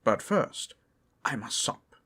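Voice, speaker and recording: A man voicing a mysterious male human wizard who speaks theatrically, dropping to hushed tones. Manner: theatrical, slow and hushed at times.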